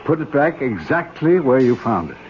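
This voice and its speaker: An actor playing an older archaeologist uncle, patient but stern, with a measured, admonishing manner in an old-time radio drama. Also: male voice, medium pitch, big pitch swings, natural voice. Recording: dull vintage radio recording, faint noise.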